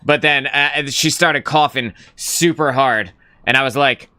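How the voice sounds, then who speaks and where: Young male stream host, clear bright tone, casual and rambling, swears freely. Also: medium pitch, a little slow. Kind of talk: casual gaming stream chat.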